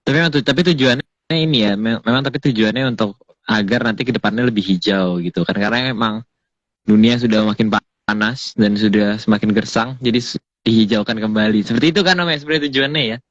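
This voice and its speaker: A man's voice: lowish voice, fast talker, dry recording. A man hosting a casual podcast chat, agreeing readily and moving the talk along. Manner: agreeable, backchannels and moves things along. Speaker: host. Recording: dull lo-fi dry audio.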